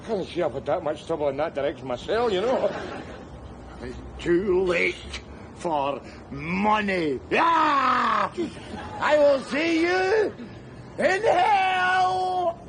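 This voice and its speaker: An actor playing a sardonic, rambling middle-aged down-and-out man, who breaks into shouts. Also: a little high, very animated, unhurried, a crowd in the background, noisy background. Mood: mocking. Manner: wry, rambling, bursts into shouts. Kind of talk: screen drama acting.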